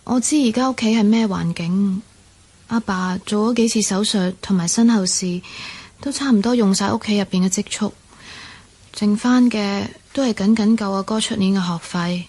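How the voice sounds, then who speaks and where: A woman acting a dutiful, plucky young student daughter in a Cantonese radio drama, with a Hong Kong accent and gentle, reassuring delivery. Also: mid-range voice, normal speed, natural voice. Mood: earnest. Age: young adult.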